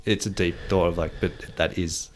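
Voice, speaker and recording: A man's voice: deep voice, fairly even intonation, moderate pace. A man hosting a casual chat, musing aloud and searching for words as he talks, heard on a noisy recording with clicks. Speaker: podcast host.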